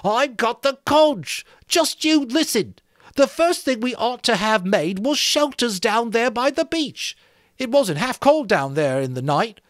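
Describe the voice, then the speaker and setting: A man's voice: a bit bright, dry recording, clean studio sound, exaggerated voice. A man voicing a whiny, indignant, frightened schoolboy in a dramatic novel reading. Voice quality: whiny, high-pitched. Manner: indignant, hurt, reproachful, ungrammatical.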